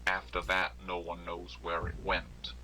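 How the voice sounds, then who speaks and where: A man voices a deep, low-pitched government announcer from an analog horror video. He delivers scripted bulletins in a formal, measured, detached tone, under clear noise. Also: completely flat delivery, pushed and bright, heavily acted. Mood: detached concern. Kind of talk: scripted emergency bulletin narration.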